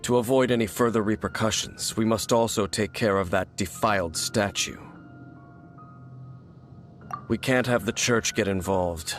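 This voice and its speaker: Grim fantasy-game warrior, male actor: low voice, solemn and formal, restrained distrust, faint music underneath. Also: measured pace, long pauses, natural voice. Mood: stern, resolute. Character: grim, distrustful male warrior guarding against dark forces. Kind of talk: fantasy video game voice acting.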